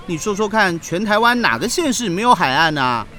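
A man's voice: high-pitched, big pitch swings, brisk, pushed and bright, slight background noise, heavily acted. A man playing a brash, scatterbrained, whiny schoolboy in a children's audio drama. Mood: whiny, teasing.

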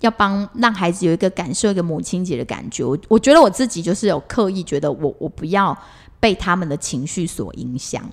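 Animated Taiwanese woman telling stories casually. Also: fairly low pitch, brisk. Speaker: podcast co-host, mother recounting family life. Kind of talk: casual conversational storytelling.

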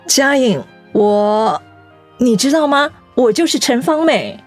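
A woman's voice: fairly high pitch, lively intonation, slow, soft background music. Heartfelt middle-aged woman director. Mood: astonished. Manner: earnest, heartfelt. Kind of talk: Mandarin radio drama acting.

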